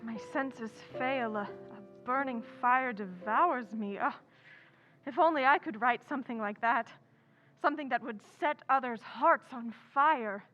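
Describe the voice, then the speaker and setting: A woman's voice: high-pitched, moderate pace, bright, forceful tone, lo-fi sound, faint music behind. A woman playing an impulsive, earnest young tomboy writer in an old-time radio drama, with an emphatic delivery. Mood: yearning.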